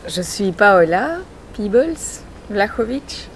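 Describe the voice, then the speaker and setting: A woman in her forties with a Belgian French accent, giving personal testimony in an interview in a measured, hesitant way with pauses. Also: medium pitch, big pitch swings, a little hiss or hum, poor audio. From born in Chile, grew up in Belgium from age four. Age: 40s. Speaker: interviewee telling her family's migration story.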